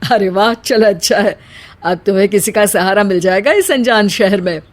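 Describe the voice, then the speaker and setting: A woman voicing a patient, sensible middle-class husband in a family story, speaking in a gentle, placating way. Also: medium pitch, normal speed, lightly acted. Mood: pleased, friendly. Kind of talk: dramatised Hindi family storytelling.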